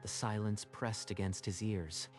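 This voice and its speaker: A male narrator with a fairly low voice tells a dramatic story. The delivery is scripted narration for a manga dub, with music underneath. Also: steady tone, a little slow. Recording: music behind the voice.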